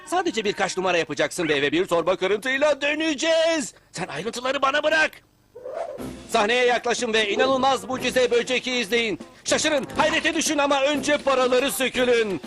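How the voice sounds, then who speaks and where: A man's voice: extremely high pitch, lively intonation, moderate pace, a bit bright. A man voicing a small scheming bug in a children's cartoon, a theatrical, persuasive, bossy showman. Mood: showy, scheming. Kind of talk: animated children's cartoon acting. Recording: cartoon music behind the voice.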